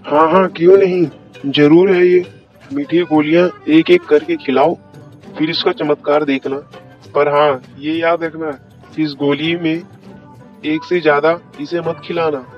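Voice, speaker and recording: Man acting a high-pitched old street hawker. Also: fairly even intonation, unhurried, close to an everyday voice. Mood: assuring, cautioning. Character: old street hawker of strange magic sweet pills. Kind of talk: Hindi cartoon storybook acting. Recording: dull lo-fi sound with music.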